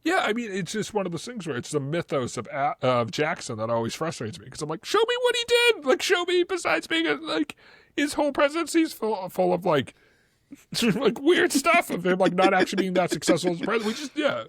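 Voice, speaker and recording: A man with a Boston accent co-hosting a casual history podcast, animated and excitable as he argues his points. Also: sits high, fairly quick, dry recording, studio quality. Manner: animated, excitable, laughs often. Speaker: podcast co-host. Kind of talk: casual chat.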